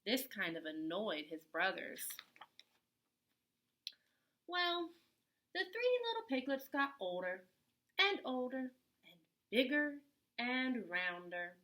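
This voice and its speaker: A woman reading a children's story aloud in an animated, deliberate way with dramatic emphasis. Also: on the high side. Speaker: children's story reader, teacher-style host. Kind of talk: expressive storybook read-aloud for young children.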